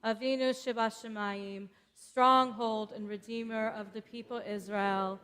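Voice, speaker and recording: Slow-paced woman prayer leader in echoing hall. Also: on the high side, fairly even intonation, a bit bright, clear, resonant tone. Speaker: cantor leading a synagogue service. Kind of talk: leading congregational prayer. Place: synagogue sanctuary. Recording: long echo of a large hall.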